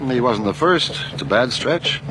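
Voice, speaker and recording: An actor playing a gruff, skeptical, wisecracking police inspector in a radio drama, curt and sarcastic. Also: male voice, mid-range voice, lively intonation, moderate pace, natural voice. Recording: noisy old radio drama recording.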